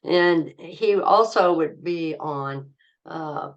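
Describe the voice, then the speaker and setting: An older woman speaking slowly in a low voice, a comedian sharing life stories with an interviewer. She rambles and halts with fillers and laughs often as she goes. Her talk is low and unhurried. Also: muffled recording. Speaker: comedian guest. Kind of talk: casual interview storytelling.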